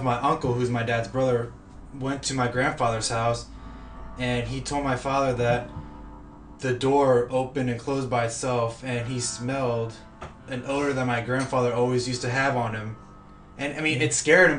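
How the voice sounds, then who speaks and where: A man interviewing a guest, casual and a bit halting as he shares a family story. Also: mid-range voice, fairly even intonation, fairly bright tone, far from the mic, faint music behind. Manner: casual, halting, laughs often. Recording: echoey room, voice away from mic.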